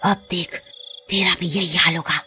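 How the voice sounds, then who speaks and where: Vengeful serpent spirit for a horror cartoon, female, lowish voice, gloating menace, played by a woman, music underneath. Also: fairly even intonation, moderate pace, sharp tone. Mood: scornful, menacing. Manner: taunting, menacing. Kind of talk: Hindi cartoon horror dialogue.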